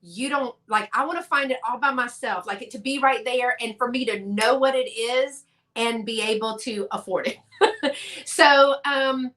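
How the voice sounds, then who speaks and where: A woman, a guest on a casual live chat, talking with rambling enthusiasm in quick bursts over a video call. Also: on the high side, fairly bright tone.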